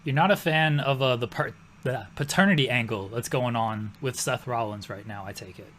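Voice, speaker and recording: Man, podcast co-host, mid-pitched voice, relaxed questions. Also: normal speed, slight background noise. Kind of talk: casual interview questions.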